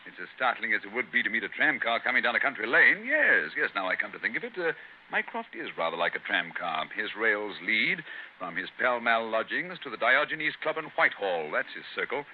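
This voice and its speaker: An actor playing an impatient, imperious English gentleman detective in an old-time radio drama, theatrical, brisk and condescending. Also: fairly low pitch, very animated. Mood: surprised, animated. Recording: tinny, noisy old radio recording.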